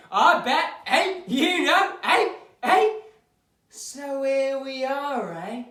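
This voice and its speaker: A man playing an emotional, working-class man who gets excitable and sentimental. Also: high voice, full character voice. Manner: excitable, sentimental, rising to a shout. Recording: echoey room from far off.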